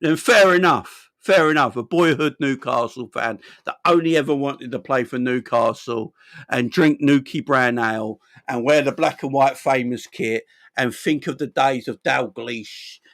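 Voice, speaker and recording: Bloke ranting about football, rambling and sweary, dry room no echo, mid voice. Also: male voice, medium pitch, measured pace, fairly bright tone. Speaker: football channel host. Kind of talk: casual football chat.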